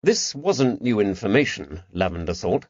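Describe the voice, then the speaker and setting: A man's voice: on the low side, sing-song, moderate pace, darkish tone, no echo, dull audio. A man reading a mystery novel as a scripted audiobook narration, recorded close in a dry space.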